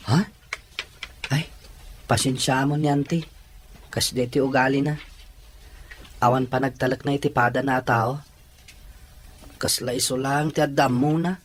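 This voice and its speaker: A low voice from a woman plays a gentle young man in an audio drama. He comes across as dutiful and devoted. Faint noise runs behind the speech. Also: fairly even intonation, measured pace, pauses often. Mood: reassuring. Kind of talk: acted Ilocano audio drama.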